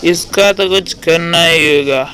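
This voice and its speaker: A man voicing a sly, envious farmhand in a cartoon moral story, with a measured, scheming manner. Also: fairly high pitch, sharp tone. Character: sly, envious male farmhand plotting against his workmate.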